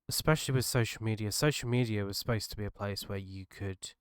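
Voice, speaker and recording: A British man hosting his own podcast, talking earnestly and haltingly, his voice gravelly from a cold. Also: fairly low pitch, a bit bright, dry recording, studio quality. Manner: earnest, halting, restarts mid-thought. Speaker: podcast host. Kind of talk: solo reflective podcast monologue.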